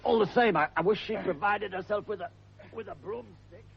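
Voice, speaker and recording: An actor playing a calm, questioning gentleman detective in a radio drama. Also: high voice, brisk, gravelly, highs cut off, slight background noise. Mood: puzzled.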